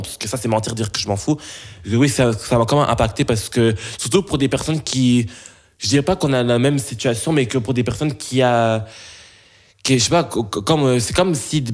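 Halting young man. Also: mid-range voice, a little mellow. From Belgium. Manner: halting, searching for words. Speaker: interviewee. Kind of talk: casual interview talk.

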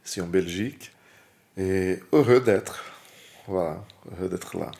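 A man's voice: low-pitched, measured pace, gravelly, mellow. A middle-aged man with a Brazilian accent, a native Portuguese speaker, introducing himself casually and searching for words. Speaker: musician.

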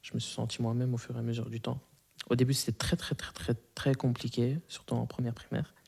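A young man in his twenties, whose first language is Arabic, answers questions in a relaxed, halting way. He fills his pauses with hums and restarts. His voice is warm and dark, at a middling pitch. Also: creaky, breathy edge. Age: 20s. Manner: hesitant, many fillers and restarts. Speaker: interviewee. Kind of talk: casual interview answers.

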